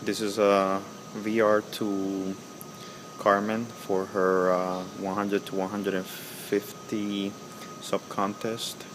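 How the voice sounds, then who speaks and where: A man in his thirties, from El Salvador, giving a halting, casual video reply outdoors beside a house, with lots of ums and uhs. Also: fairly low pitch, completely flat delivery, background noise. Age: 30s. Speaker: pipe-smoking video blogger. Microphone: webcam at close range.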